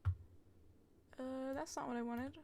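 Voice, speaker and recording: A woman's voice: high voice, steady tone, slow, gravelly, dark tone, a little hiss or hum. A young adult woman giving casual, giggly, sweary livestream commentary over a game, rambling and exclaiming. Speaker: game streamer.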